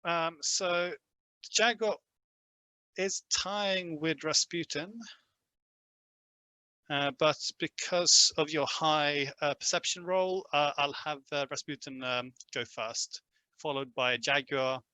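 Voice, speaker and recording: Gm guy, bright voice, lots of uhs and ums, dry close mic. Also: on the high side, steady tone, takes it slowly, clean tone, dry recording, low-quality recording. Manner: hesitant, many fillers. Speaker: game master. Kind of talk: narrating a tabletop game.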